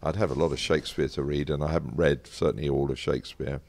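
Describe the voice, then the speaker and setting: An older man with a British accent and a very low, gravelly voice. He talks as a guest in an interview, telling stories conversationally, often pausing to rephrase and wander into asides. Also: steady tone, a little mellow. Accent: British English. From Britain. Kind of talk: conversational interview, anecdotal storytelling.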